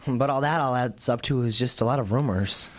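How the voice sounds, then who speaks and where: An actor playing an earnest, skeptical teenage high school boy in an audio drama, polite but challenging. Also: brisk, natural voice. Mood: defiant.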